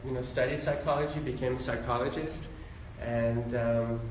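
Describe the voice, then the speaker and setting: A man's voice: medium pitch, moderate pace, a little hiss or hum. Man in an echoey room, rambling. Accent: Midwestern American. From Iran, raised in Ohio. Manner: relaxed, rambling, many fillers. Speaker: interview guest, doctor and psychologist. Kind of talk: casual conversational interview. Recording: very echoey room, mic at a distance.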